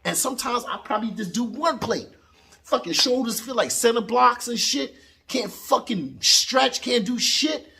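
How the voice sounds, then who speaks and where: A man in his late forties from New York, ranting in a loud, boastful, swear-filled casual vlog from his basement gym. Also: very high voice, expressive, moderate pace, vocal fry, a little hiss or hum. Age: 40s. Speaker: boxing vlogger. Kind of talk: casual profane vlog rant.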